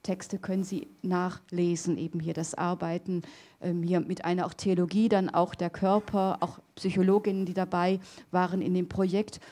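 Woman, middle-aged, academic lecture, handheld mic, lecture hall, warm low voice, hesitant fillers. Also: level tone, moderate pace. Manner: hesitant, many fillers and restarts. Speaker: theology lecturer. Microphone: handheld microphone.

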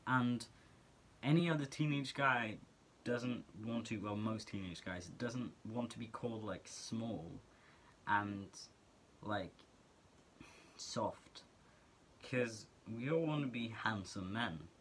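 Bright-voiced Norwegian teenage guy. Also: mid-range voice, slow pace, a little hiss or hum. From Norway. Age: teens. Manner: earnest, chatty, many filler words. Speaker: vlogger. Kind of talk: casual talk to camera. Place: small bedroom indoors.

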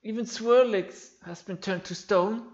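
A man who is not a native English speaker reads video game dialogue aloud in a theatrical, slightly halting way. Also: sits high, muffled recording, background noise. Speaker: game playthrough creator voicing all characters.

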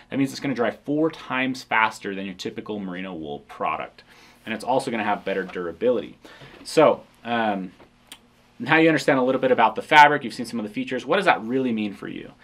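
A man in his thirties, a company founder, casually walking through a product on an indoor studio set, relaxed and explanatory with frequent ums. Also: mid-range voice.

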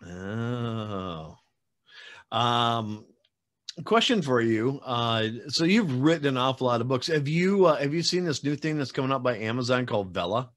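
A man's voice: mid-range voice, animated, unhurried, a bit bright. A man in his forties hosting a casual interview over a video call from a room at home, chatty and rambling with many fillers and some teasing. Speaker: podcast host. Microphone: webcam video call.

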